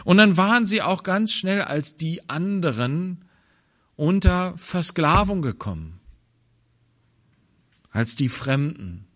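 A man from Germany giving a thoughtful sermon, pausing and restarting, sometimes dropping into quiet low asides. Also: big pitch swings. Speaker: preacher.

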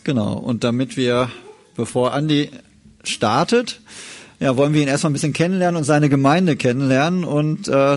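Halting, informal man hosting a church service. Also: on the high side. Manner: halting, conversational, often adds tag questions. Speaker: church service host interviewing a guest pastor.

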